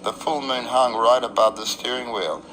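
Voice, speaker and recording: A man's voice: mid-range voice, steady tone, moderate pace, background noise. An Australian man performing a dramatic first-person radio narration in a wry, literary beat-poet storytelling manner. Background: electric guitar music.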